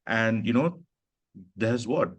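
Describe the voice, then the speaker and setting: A man from Pakistan talks about his work as an author in an earnest, reflective way. His voice sits very low. The sound is dry and close, with almost no room echo. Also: expressive, slow pace. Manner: earnest, reflective, frequent "you know" and "right". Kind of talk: conversational literary talk. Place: literary festival stage.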